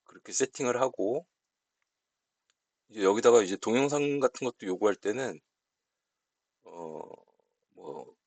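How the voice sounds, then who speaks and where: A man explaining software settings step by step in an unscripted tutorial, speaking politely as an instructor. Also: on the low side, expressive, unhurried, long pauses, slightly rough, dry recording.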